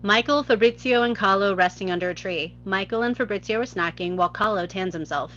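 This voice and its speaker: A woman's voice: mid-range voice, fairly quick, a bit bright, highs cut off, slight background noise. A woman reading scene headings and stage directions as scripted narration at a live table read.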